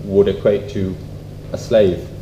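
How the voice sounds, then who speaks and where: A man making measured, courteous comments at a community event in fairly formal wording. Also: deep voice, level tone, dark tone. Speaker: event speaker. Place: echoey room at a public event. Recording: dull, echoey, noisy room recording.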